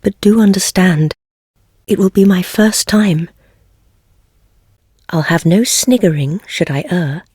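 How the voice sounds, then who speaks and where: A woman playing a wry, world-weary heretical witch in a fantasy game, speaking in measured, archaic phrases with gentle teasing. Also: fairly low pitch, dry recording, clean studio sound. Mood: soft, sincere. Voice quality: husky, breathy. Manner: measured, archaic formal speech, softly teasing. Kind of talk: scripted fantasy game voice acting.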